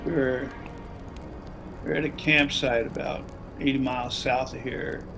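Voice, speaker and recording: A man's voice: mid-range voice, little pitch movement, a little slow, vocal fry, low-quality recording. A man playing an older, folksy retired salesman and widower in a zombie drama table read. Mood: wistful, sad. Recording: video-call read over music.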